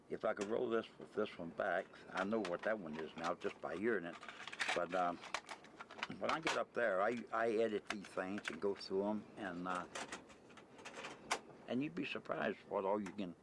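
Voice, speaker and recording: A man being interviewed, chatting in a casual, halting, folksy way as he explains his hobby. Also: fairly low pitch. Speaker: interviewee, CB radio hobbyist who records chatter. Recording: noisy amateur field recording with clicks.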